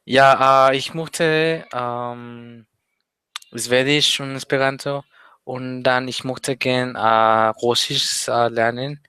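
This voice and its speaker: Teenage guy, slow, flat. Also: medium pitch, smooth, no room sound. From Mexico. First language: Spanish. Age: teens. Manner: hesitant, many pauses and fillers. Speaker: interview guest, language learner. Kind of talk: casual interview chat.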